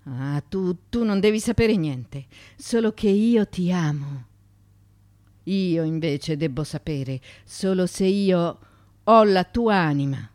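A woman's voice: fairly low pitch, animated, takes it slowly, a little mellow, no room sound. A woman voicing a tormented young partisan, feverish and desperately in love, in an anguished, emphatic dramatic monologue. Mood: anguished longing. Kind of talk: dramatic monologue from a literary reading.

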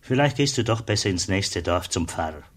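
An actor playing a kindly, plain-spoken border customs officer in a German radio drama, with a husky voice and simple, friendly manner. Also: male voice, deep voice, lively intonation, a little fast.

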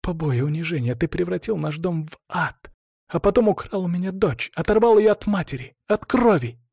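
A man's voice: mid-range voice, normal speed, darkish tone, dry recording, studio quality, lightly acted. A man voicing a bitter, coldly defiant middle-aged woman, a wronged mother, in an intense, accusing audiobook performance. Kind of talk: dramatic audiobook character voice.

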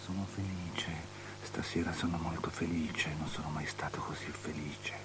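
An actor playing a capricious, lustful, superstitious tetrarch in avant-garde radio theatre, declaiming and lurching between outbursts and breathy murmurs. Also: male voice, extremely low pitch, steady tone, moderate pace. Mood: hushed bliss. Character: capricious, lustful, superstitious male tetrarch, unstable and self-pitying. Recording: noisy, humming old radio drama recording.

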